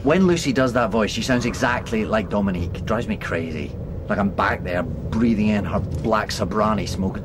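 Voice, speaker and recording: An actor playing a sarcastic, exasperated middle-aged father with an excitable manner in a comedy drama. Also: lowish voice, moderate pace, close to an everyday voice. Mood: wry. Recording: clear background noise.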